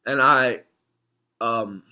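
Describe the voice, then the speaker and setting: Hoarse young guy vlogging, bright edgy voice, keeps clearing his throat, lots of ums. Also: medium pitch, drawn-out words. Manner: rambling, frequent throat clearing and filler words. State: hoarse from screaming. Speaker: MMA fan vlogger. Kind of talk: casual reaction vlog.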